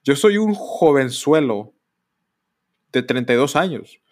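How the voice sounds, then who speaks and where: A man's voice: animated, slow. A man in his thirties with a Mexican accent, hosting a solo podcast and speaking candidly in a rambling way. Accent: Mexican Spanish. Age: 30s. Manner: candid, rambling, mocks others in exaggerated high voices. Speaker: podcast host.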